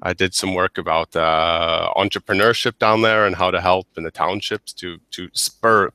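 A man's voice: low voice, steady tone, moderate pace, sharp tone, clear, resonant tone, dry recording. A man in his thirties from the United States, a guest on a video call headset, talking casually with many restarts and repeated words. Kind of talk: casual interview chat. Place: small office with whiteboard. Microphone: headset mic on a video call.

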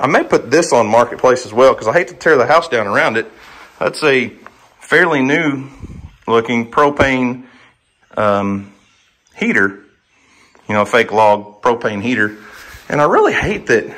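A man's voice: medium pitch, a bit bright. A man, giving a casual, rambling walkthrough to viewers in a folksy way. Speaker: homestead vlogger, host. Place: outdoors in a yard, then inside a cluttered empty house. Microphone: handheld camera at arm's length.

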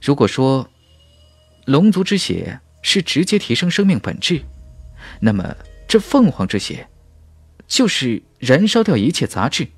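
A man's voice: mid-range voice, very animated, measured pace, husky, no echo, pitched above the performer's own voice, heavily acted. A man voicing a confident, ambitious young warrior in a fantasy audiobook, with an emphatic, dramatic delivery. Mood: admiring. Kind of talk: audiobook character speech and inner thoughts.

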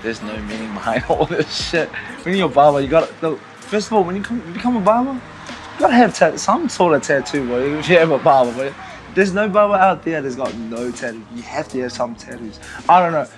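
A man's voice: on the high side, big pitch swings, a little fast. A man in his thirties with a New Zealand accent, chatting casually and jokily in slang as an interviewed barber. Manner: playful, slangy, with frequent "man" and "bro". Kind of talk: casual jokey chat. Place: indoors in a small convenience store. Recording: music playing behind the voice. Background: music.

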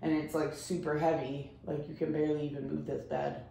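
A frank, chatty woman in her thirties talks straight to a camera set across her small apartment, peppering her talk with "like" and "guys". Her voice sits a little low and reaches the mic from a few steps off. The room echoes, with steady household noise behind her. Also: a little fast, gravelly, recorded from a distance. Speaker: vlogger. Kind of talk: casual vlog chat to camera. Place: small apartment bedroom and kitchen. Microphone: camera set a few steps away.

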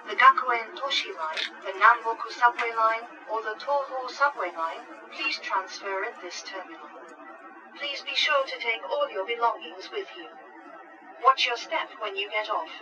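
A woman reading a polite, formal scripted train announcement. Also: fairly high pitch. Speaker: English-language train announcer. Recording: distant, noisy onboard recording of train speakers. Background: train engine noise.

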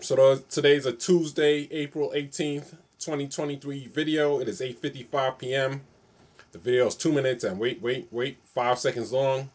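A man, vlogging casually to camera from his bedroom, repeating and circling back over the same phrases. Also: mid-range voice. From New York City. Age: 40s. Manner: repetitive, rambling, loops over the same phrases. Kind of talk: casual solo vlog to camera. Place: small bedroom, indoors.

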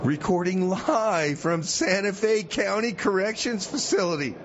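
An actor playing an easygoing male radio host stuck in jail, halting, rambling and folksy in a live comedy show. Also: high voice, fairly bright tone. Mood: upbeat. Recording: muffled radio, noisy background.

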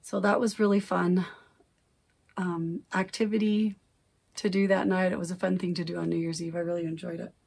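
A woman's voice: on the low side, level tone, normal speed, vocal fry, a little mellow, husky. A middle-aged woman vlogging casually from her craft room, rambling and correcting herself, her voice still recovering after she lost it. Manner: rambling, self-correcting, chatty. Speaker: cross-stitch vlogger. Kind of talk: casual chat. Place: home craft room, indoors. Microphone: camera at desk distance.

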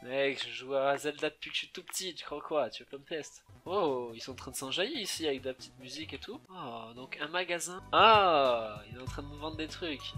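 A young man giving halting let's play commentary, often repeating himself as he goes. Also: fairly high pitch, lively intonation, bright, forceful tone. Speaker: gaming YouTuber. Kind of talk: let's play commentary with dialogue read aloud. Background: game music.

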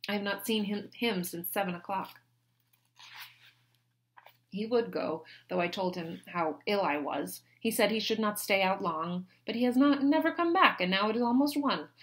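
A woman reading a novel aloud, voicing a petulant, self-pitying young married woman who complains loudly. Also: medium pitch, lightly acted. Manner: whining, complaining, loud.

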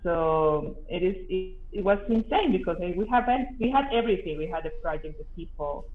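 A Costa Rican woman in her forties, a native Spanish speaker, chatting as an interview guest over a headset with lively, self-deprecating talk and frequent restarts. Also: fairly low pitch, moderate pace. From Costa Rica. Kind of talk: casual interview chat. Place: home office. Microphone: headset on video call. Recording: tinny echoey video call audio.

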